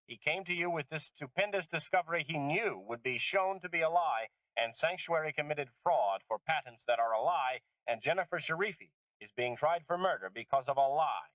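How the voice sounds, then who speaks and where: A man voicing an older woman geneticist, bitter and intense, speaking emphatically in a raised pitch. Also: expressive, moderate pace, hardly any pauses, a bit bright, no room sound, low-quality recording. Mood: insistent. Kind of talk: audiobook character voice.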